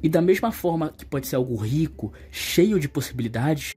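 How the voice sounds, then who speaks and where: A man's voice: on the high side, expressive, normal speed, a little mellow. Young Brazilian man giving opinionated commentary. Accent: Brazilian Portuguese. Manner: colloquial, emphatic when criticizing. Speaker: video essayist. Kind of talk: opinionated commentary, casual video essay. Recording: voice-over over background music. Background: music.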